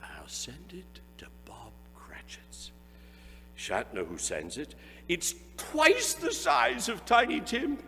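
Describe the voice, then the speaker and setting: A man's voice: high voice, wildly swooping pitch, slow, pushed and bright, in a large hall, a little hiss or hum, pitched above the performer's own voice, exaggerated voice. A man doing a gleeful old reformed miser. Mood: gleeful, sheepish. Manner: mock-gruff then delighted.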